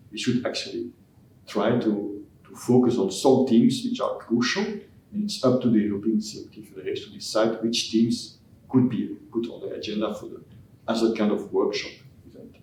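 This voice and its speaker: A man from Belgium, a scientist answering interview questions in a halting way, often repeating words as he searches for phrasing. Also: lowish voice, a little mellow. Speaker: scientist giving concluding remarks. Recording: echoey hall, mic at a distance, faint noise.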